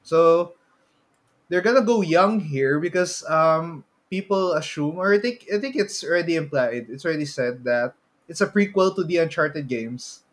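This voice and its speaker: A man talks casually as a podcast co-host. His voice sits a little high for a man. He speaks in a halting way, often repeating a word as he finds the next phrase. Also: lively intonation. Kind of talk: casual chat about movies and games.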